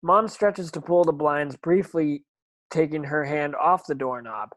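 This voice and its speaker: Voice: mid-range voice, unhurried, a bit bright, dry recording. A narrator reading screenplay stage directions in an online table read, now and then stumbling and repeating words.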